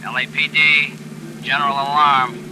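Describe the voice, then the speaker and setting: An actor playing an impassive police dispatcher making clipped official calls over shortwave in a 1940s radio drama. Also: male voice, a little high, moderate pace. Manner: clipped, flat official repetition. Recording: noisy vintage radio recording.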